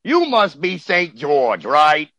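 A man voicing a brash, blustering dragon with a gravelly voice and hammy delivery in a comic radio drama. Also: fairly high pitch, wildly swooping pitch, takes it slowly, sharp tone, no room sound, clean studio sound, exaggerated voice. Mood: cocky. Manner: hammy, overacted. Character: brash, blustering fire-breathing dragon, wisecracking crook.

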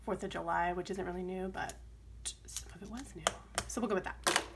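A woman, chatting casually while planning. Her voice is strained and crackly, sometimes dropping deep, and she rambles between soft asides. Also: steady tone, a little fast, creaky. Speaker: planner vlogger. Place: home desk, camera overhead on planner.

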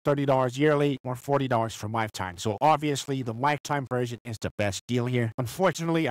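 A young man voicing a goofy cartoon dad through an AI voice changer, giving a software tutorial. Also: medium pitch, no echo. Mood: instructional. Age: young adult. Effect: real-time AI voice changer.

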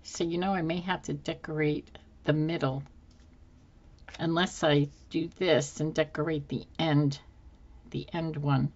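A slightly hoarse woman with a low, unhurried voice talks through her crafting at a desk. She muses aloud to herself as she goes. The audio is a bit dull and lo-fi. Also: level tone, a little mellow. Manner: unhurried, musing to herself with fillers. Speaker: planner decorating channel host. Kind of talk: casual thinking-aloud crafting narration. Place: indoors at a desk. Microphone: overhead camera, hands only.

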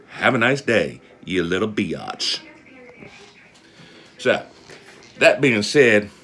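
Low-voiced man, blunt and folksy. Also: a bit bright. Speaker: amateur video blogger. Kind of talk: casual chat to camera, storytelling. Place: small indoor room with panel ceiling. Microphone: phone at arm's length.

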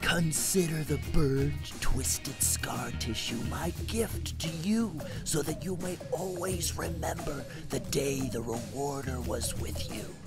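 A man's voice: on the high side, animated, slow, hardly any pauses, voice pushed up. A man voicing a pompous, deluded male superhero in a comic audio story, with a scratchy voice and grandiose, menacingly benevolent proclamations. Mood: menacingly tender. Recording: loud music behind the voice. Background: music.

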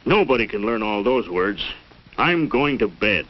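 A man's voice: lowish voice, sing-song, a little slow. An actor playing a flustered, plain-spoken middle-aged American family man in a 1940s educational film, with a muffled period soundtrack. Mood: exasperated.

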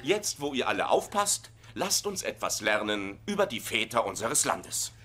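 An actor playing a hapless blue-collar husband working as a substitute teacher, blustering and exasperated in a dubbed sitcom. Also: male voice, mid-range voice, big pitch swings, moderate pace, lightly acted. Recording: noisy sitcom soundtrack with clicks.

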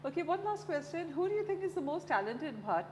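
A woman's voice: extremely high pitch, very animated, fast, darkish tone. A middle-aged woman with an Indian accent interviewing conversationally, agreeing and chiming in readily. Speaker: interviewer, host. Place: indoor cafe-like set, seated at a long wooden table. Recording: reverberant room with faint background noise.

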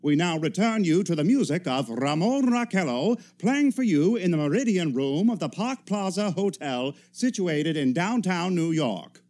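An actor playing a formal, urgent 1930s radio news announcer reading bulletins in a radio play. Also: male voice, sits high, expressive, normal speed, hardly any pauses, darkish tone, slight background noise.

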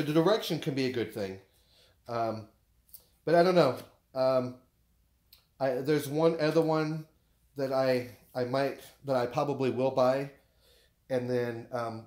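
Man, American accent, mid-pitched voice, casual vlogger, rambling, halting, thinking out loud, at home. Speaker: vlogger showing purchases. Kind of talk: casual unboxing chat. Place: living room at home.

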